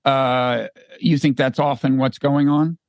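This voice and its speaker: A man's voice: medium pitch, steady tone, slow, no room sound. A man hosting an interview, asking probing, somewhat hesitant questions. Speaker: podcast host.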